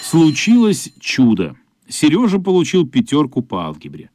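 A man narrating a radio play in an expressive, measured literary storytelling style. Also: on the high side, a little hiss or hum.

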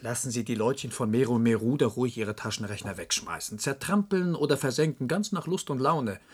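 A man's voice: medium pitch, expressive, brisk, breathy edge. An actor playing a shrewd, cynical analyst who lectures his colleagues drily and knowingly in a science-fiction radio drama. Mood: dry, knowing.

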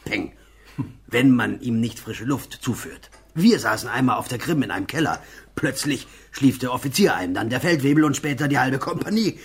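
An actor playing a simple, earthy old war veteran in a German radio play, rambling in a folksy, plain-spoken way. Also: male voice, medium pitch, expressive, moderate pace, gravelly, breathy edge. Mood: ponderous, reminiscing.

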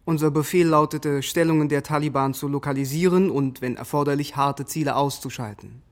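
An actor playing an unrepentant soldier on trial in a radio drama, terse, factual and controlled. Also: male voice, on the high side, a little fast, close to an everyday voice. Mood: matter-of-fact. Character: unrepentant, matter-of-fact male soldier, sniper on trial. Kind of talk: German radio drama acting.

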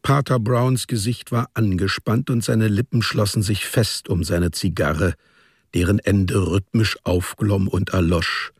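Calm storyteller for a detective radio drama, slow and husky, dry close sound. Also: male voice, fairly low pitch, no room sound. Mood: calm, measured. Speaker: narrator. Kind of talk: literary scripted narration for a German radio play.

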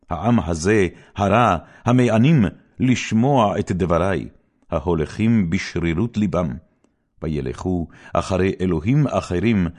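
A man narrating scripture in a formal, solemn and measured declamatory style with clear phrasing. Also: low voice, a little mellow. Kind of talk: formal scripted Bible reading.

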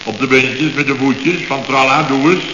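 A man's voice: mid-range voice, fairly even intonation, moderate pace, close to an everyday voice. A man playing a blustering, impatient company boss in a Dutch radio comedy from around 1970, talking in clipped, exclamatory fragments. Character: blustering, impatient male boss of building firm. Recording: echoey, dull, noisy old radio recording.

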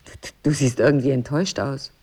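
An actress playing a wry, tender, quietly wistful wife in an intimate radio drama, with a teasing, measured delivery. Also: female voice, a bass voice, fairly even intonation, slightly rough. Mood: teasing, amused.

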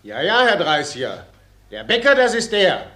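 An actor in a German radio play, playing a defiant, hot-headed weaver with a cheeky, combative manner. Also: male voice, high-pitched, huge pitch swings, moderate pace, bright, forceful tone, exaggerated voice. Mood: insolent, taunting.

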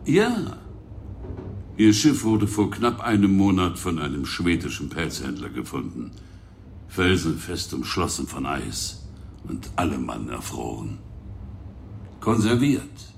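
An actor playing a courteous, smug colonial governor in a radio drama, formal and drily matter-of-fact. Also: male voice, a bass voice, sing-song, slow, long pauses, a little hiss or hum, exaggerated voice. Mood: drily ominous. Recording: roomy echo, clear background ambience.

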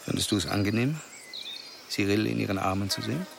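An actor playing a jealous, irritable middle-aged father in a radio play, with a low, creaky voice. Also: quick pace. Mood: bitter, hurt. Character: jealous father of a grown daughter, wistful, irritable. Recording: noisy, insects buzzing outdoors.

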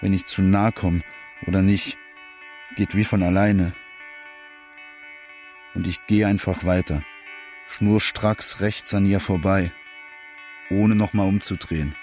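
A man's voice: deep voice, steady tone, takes it slowly, pauses often, darkish tone. An actor playing a downcast, lonely working-class man in a radio drama monologue, speaking in terse, bleak fragments. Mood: bleak, resigned. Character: downcast, lonely working-class loser pouring out his troubles. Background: prominent guitar music.

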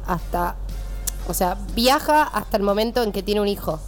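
A woman's voice: medium pitch, lively intonation, moderate pace. A woman with a Rioplatense Argentine accent giving an informal film review as a radio columnist, talking back to her co-hosts. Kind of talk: casual chat, film review. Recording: music playing behind the voice. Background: background music.

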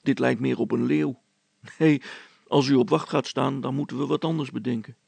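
A man's voice: mid-range voice, fairly even intonation, moderate pace, gravelly, a little mellow, slightly rough, no echo. A man voicing a polite, practical and hesitant male accomplice in a storybook, deferential but mildly objecting.

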